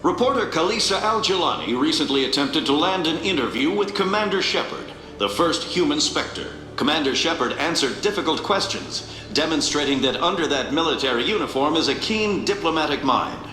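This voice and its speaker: A man's voice: a little high, very animated, fairly quick, hardly any pauses, pushed and bright, soft background music. An actor playing a polished male news announcer reading a bulletin in a video game, in an echoing space. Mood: polished, promotional.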